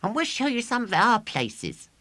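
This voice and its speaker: Eager high-pitched schoolboy. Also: male voice, wildly swooping pitch, moderate pace, pushed and bright, no echo, heavily acted. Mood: inviting. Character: eager, bossy schoolboy of eleven, gang leader. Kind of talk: children's audiobook character voice.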